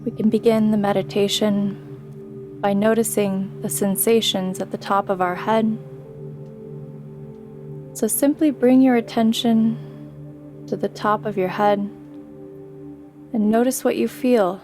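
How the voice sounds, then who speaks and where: A woman leads a guided sleep meditation at a very slow, unhurried pace. Her mid-pitched voice stays flat and even, gently instructing the listener. Soft music plays behind her. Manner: gentle, soothing, unhurried instruction with long pauses.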